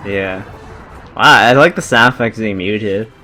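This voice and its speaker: A man's voice: lowish voice, measured pace, bright, forceful tone. A man chatting casually as a game commentary co-host, reacting in short exclamations with some swearing. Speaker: let's play co-host reacting. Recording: roomy echo, faint game music behind.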